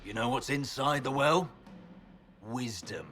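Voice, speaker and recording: An actor playing a bitter, defiant warrior general, a proud and menacing villain in a video game. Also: male voice, mid-range voice, unhurried, a bit bright, a little hiss or hum, natural voice.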